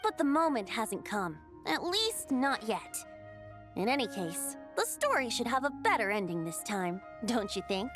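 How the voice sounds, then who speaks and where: A woman playing a gentle, knowing storyteller in video game voice acting. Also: fairly high pitch, big pitch swings, normal speed, over music.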